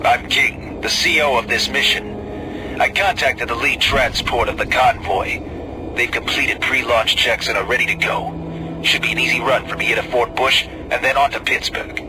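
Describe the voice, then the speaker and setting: An actor playing a confident, friendly military escort commander in a video game, talking like a crisp mission briefing. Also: male voice, medium pitch, fairly even intonation, normal speed, noisy background, lightly acted. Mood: assured. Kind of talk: video game cutscene acting.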